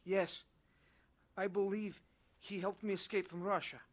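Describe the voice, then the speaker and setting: A man's voice: sits high, little pitch movement, unhurried. An actor playing an earnest, haunted young Russian defector who tells his story in a dramatized testimony. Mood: yearning. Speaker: first-person narrator of a dramatized testimony. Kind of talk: dramatized scripted narration.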